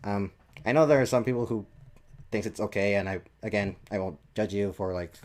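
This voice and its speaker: Low-voiced, halting male podcast host. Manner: hesitant, halting, repeats himself. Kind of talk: casual podcast chat.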